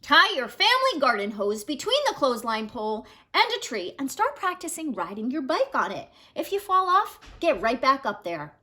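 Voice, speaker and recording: A woman in her thirties reading aloud like a teacher, with an expressive, emphatic storytelling delivery for children. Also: sits high, moderate pace, hardly any pauses. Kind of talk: expressive children's picture-book read-aloud. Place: small living room at home, indoors.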